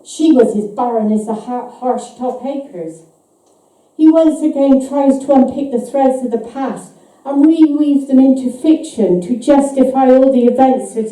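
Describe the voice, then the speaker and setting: Echoey, very mellow woman reading a story. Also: on the high side. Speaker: author reading her own short story. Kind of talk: live dramatic story reading. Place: live reading event, voice picked up from afar. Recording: echoey hall, distant microphone.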